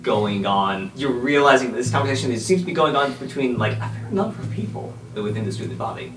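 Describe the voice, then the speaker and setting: A man's voice: medium pitch, animated, fast talker. A man improvising casual narration as game master of a tabletop roleplay podcast, hedging often as he goes. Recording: roomy, distant mic, music behind.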